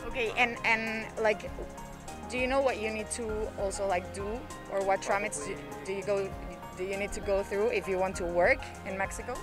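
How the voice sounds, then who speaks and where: A woman's voice: mid-range voice, fairly even intonation, moderate pace. A female street reporter interviewing passersby and asking questions in an upbeat way in two languages. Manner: upbeat, switches between Spanish and English when asking questions. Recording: music playing underneath.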